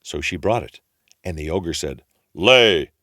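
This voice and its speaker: A man's voice: very deep voice, normal speed, dry recording, studio quality. A man narrating a children's fairy tale as a storyteller, in a folksy, colloquial manner.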